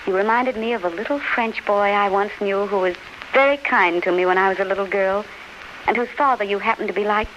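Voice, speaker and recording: A woman's voice: mid-range voice, fairly quick, a bit bright, lightly acted. A woman in a 1930s radio drama playing a gracious, tender English duchess, refined, polite and full of feeling. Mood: warmly reminiscent. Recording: muffled, noisy 1930s radio recording.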